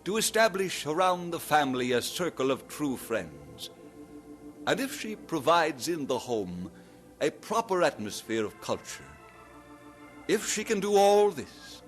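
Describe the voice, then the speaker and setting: An earnest Scottish preacher, with a middle-pitched voice that rises and falls with lively emphasis. Soft music plays under this old radio drama. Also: male voice, unhurried. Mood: preaching. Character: earnest young male preacher. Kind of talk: 1940s radio acting. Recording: vintage radio recording with music.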